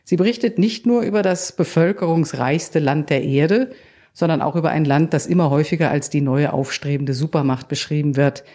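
A woman editor interviewing a colleague, asking measured questions with small restarts. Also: sits low, warm tone. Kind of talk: podcast interview.

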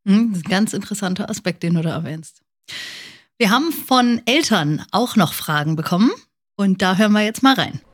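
A woman hosts an interview in a casual, conversational way. Her voice sits at an average pitch as she talks with her guest. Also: expressive, brisk. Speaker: interviewer, host.

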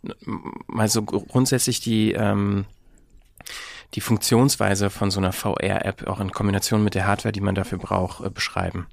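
A man's voice: fairly low pitch, flat delivery, normal speed, creaky, no echo. A man hosting a podcast interview, asking casual questions in informal, meandering phrasing.

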